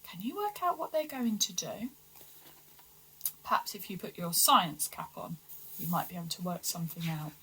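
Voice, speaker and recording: A woman reading a children's storybook aloud with expressive, deliberate, dramatic emphasis. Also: lowish voice, husky, poor audio. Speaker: story reader for schoolchildren.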